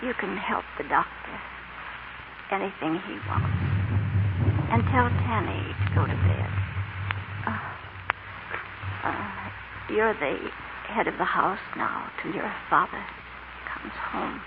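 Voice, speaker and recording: A gentle, ailing mother speaks tenderly in a slow, creaky voice with halting phrases. The old radio drama recording is dull and buried in loud noise. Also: mid-range voice, animated. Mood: weak, tender. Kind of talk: 1940s radio acting.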